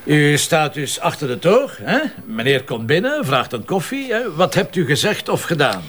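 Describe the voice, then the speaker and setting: Flemish police commissioner, brusque and probing, lively intonation, detective drama, noisy location sound. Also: male voice, fairly high pitch. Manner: brisk, probing, exasperated with subordinates. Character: brusque, impatient male Flemish police commissioner questioning a witness. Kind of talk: naturalistic detective drama dialogue.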